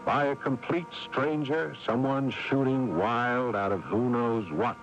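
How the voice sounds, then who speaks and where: An actor playing a world-weary, exasperated older brother who narrates a 1980s radio drama with brooding guilt, in dramatic delivery. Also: male voice, mid-range voice, unhurried, soft background music, close to an everyday voice. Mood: somber reflection. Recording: dull lo-fi AM radio broadcast.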